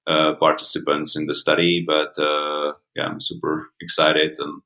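Male guest coach, Czech accent, very low, flat-pitched delivery, conversational and hesitant. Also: measured pace. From Czech Republic. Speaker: mountain coach and podcast guest. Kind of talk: conversational interview answers.

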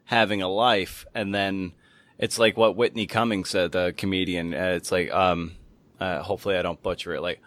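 Low-voiced casual man, close and dry. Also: fairly even intonation, moderate pace, fairly bright tone, no room sound. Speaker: podcast host. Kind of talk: casual interview chat.

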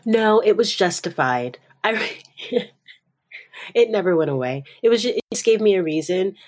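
A woman's voice: mid-range voice, animated, moderate pace. A woman co-hosting a podcast, telling personal stories casually and directly, expressively acting out bits of dialogue. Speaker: podcast co-host.

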